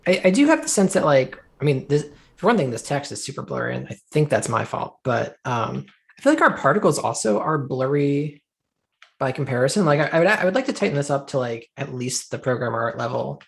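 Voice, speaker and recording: Gravelly-voiced man chatting wryly. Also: medium pitch. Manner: relaxed, wry, quick asides. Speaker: game developer co-host. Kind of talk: casual chat during a dev stream.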